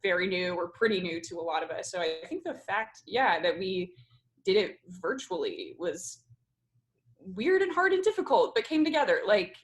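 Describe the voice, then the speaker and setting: A woman's voice: on the low side, very animated, moderate pace. A woman who directs theatre, hosting an informal group discussion in a casual, conversational style. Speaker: host, theatre director and producer.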